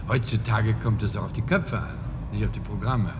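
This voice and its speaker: An actor playing a smooth, calculating middle-aged mayor in a radio drama, speaking in an insinuating official manner. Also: male voice, on the low side, moderate pace, natural voice. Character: smooth, calculating mayor bargaining for political support. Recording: noisy background ambience.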